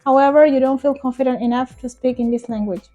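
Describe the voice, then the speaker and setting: Young non-native woman ESL teacher, high but mellow voice, earnest and encouraging, soft music underneath. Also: steady tone, fast talker, highs cut off. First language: not English. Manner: earnest, encouraging, direct address to viewers. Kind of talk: talking-head teaching vlog.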